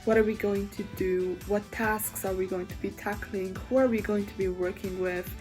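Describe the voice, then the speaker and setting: Young woman chatting loosely in english, lots of likes and you knows, soft music under it. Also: medium pitch, steady tone, moderate pace. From Sarajevo, Bosnia and Herzegovina. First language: Bosnian. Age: young adult. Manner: casual, chatty, with frequent fillers and restarts. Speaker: university student. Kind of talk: reflective video monologue.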